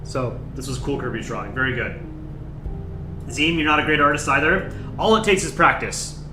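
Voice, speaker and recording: A young man hosting a casual livestream, chatting in a relaxed, rambling way and thanking viewers by name. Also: mid-range voice, moderate pace, roomy sound, over music. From United States. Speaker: livestream host. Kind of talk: casual chat.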